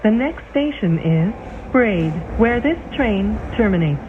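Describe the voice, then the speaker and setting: Tinny female train announcer over loud noise. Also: mid-range voice, lively intonation, slow pace. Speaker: transit announcer. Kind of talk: scripted train station announcements. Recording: tinny band-limited train speaker, loud vehicle noise.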